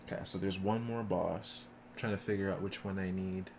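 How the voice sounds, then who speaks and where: A man's voice: low-pitched, steady tone, fairly quick, noisy background. A man doing casual live commentary as a game streamer, explaining things in an easygoing way.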